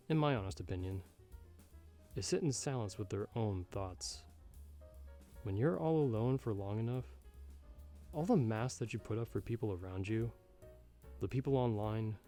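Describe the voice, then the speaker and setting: Casting: male actor as a jaded, sardonic bartender for ASMR roleplay; dark mellow tone, mid pitch, measured and wry, music behind. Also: lightly acted. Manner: measured, wry, slightly formal and old-fashioned phrasing. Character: jaded, sardonic male bartender giving frank, fatherly advice. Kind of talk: ASMR roleplay, scripted monologue to the listener. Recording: background music under the voice.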